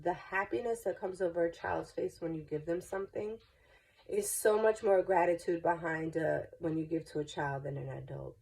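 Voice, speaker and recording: A woman's voice: lowish voice, darkish tone, rough recording. A congested woman giving a chatty, reassuring tarot reading, stuffy from a cold. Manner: chatty, reassuring, repeats herself. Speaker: tarot reader. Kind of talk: casual spoken tarot reading.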